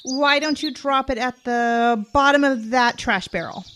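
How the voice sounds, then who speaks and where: A woman playing a sensible adult camp leader in an audio drama, practical and steady. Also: high voice, measured pace, slight background noise. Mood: concerned.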